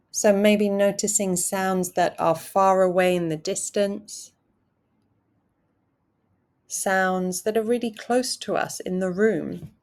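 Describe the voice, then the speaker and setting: A woman's calm voice guides a meditation at a very slow, unhurried pace. Her pitch sits in a comfortable middle range, and she lets soft pauses fall between her gentle instructions.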